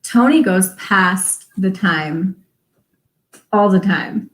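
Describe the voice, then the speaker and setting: A woman talking casually as a guest, relaxed with frequent ums and laughs. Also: mid-range voice, extremely slow, reverberant, poor audio. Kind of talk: casual chat.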